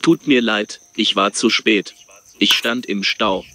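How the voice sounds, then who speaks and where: A man reading his part in a scripted dialogue with measured, careful delivery. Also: on the low side, background noise.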